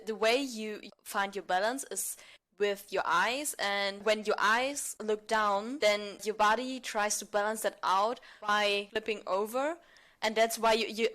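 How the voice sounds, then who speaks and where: A woman's voice: medium pitch, fairly bright tone. Young German-speaking woman, casual chat. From southern Germany. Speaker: interview guest, amateur actor.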